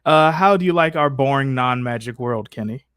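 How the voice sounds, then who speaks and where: A man's voice: medium pitch, moderate pace, a bit bright, smooth, no echo. Casual, slangy man hosting, very dry sound. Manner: informal, slangy, playfully exasperated. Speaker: host of a character Q&A livestream. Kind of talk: casual improvised chat.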